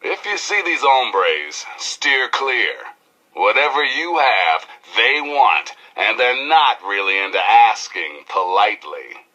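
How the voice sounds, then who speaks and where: An actor playing a cocky, flamboyant post-apocalyptic rebel radio DJ with an American accent and showy, slangy delivery in a video game. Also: male voice, mid-range voice, very animated, pushed and bright, heavily acted, poor audio. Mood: wry, confiding. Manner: showy, slangy, drawn-out emphasis with howls. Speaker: radio DJ and newscaster. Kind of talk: theatrical radio DJ patter.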